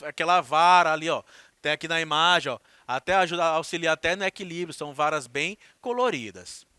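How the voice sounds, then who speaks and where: A man's voice: high voice, bright, forceful tone, no echo. A man teaching a recorded class, explaining things with chatty asides to his students.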